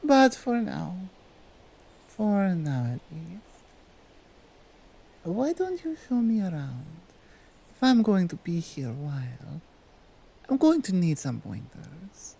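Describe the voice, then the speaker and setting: A woman voicing a dominant, doting otherworldly female suitor with a Nordic accent, teasing and deliberate in an ASMR roleplay. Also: low-pitched, vocal fry, darkish tone, slightly rough, exaggerated voice. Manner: slow, deliberate, teasing, commanding, non-native English phrasing. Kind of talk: ASMR roleplay addressed to the listener.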